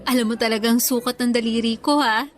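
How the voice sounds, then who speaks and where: Sweet, high-voiced young girlfriend played by an actress. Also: fairly even intonation, normal speed, close to an everyday voice. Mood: sweet, devoted. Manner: tender, effusive, affectionate. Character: sweet, devoted young girlfriend, newly graduated student. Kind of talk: Tagalog radio romance drama acting. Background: faint music.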